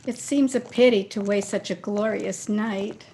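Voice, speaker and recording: Faint noise sits behind a woman playing a sweet young fiancée from an old radio drama. She is affectionate and coy, with a medium-pitched voice. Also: steady tone, a little slow, dark tone, close to an everyday voice. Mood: tender. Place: indoors, recorded over a video call. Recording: faintly noisy home video-call recording.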